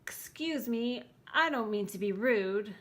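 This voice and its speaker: A woman's voice: fairly high pitch, animated, takes it slowly, a bit bright. A woman voicing a picky, plucky child in a storybook, frank and demanding. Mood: apologetic but firm. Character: picky, plucky child wanting a scary monster.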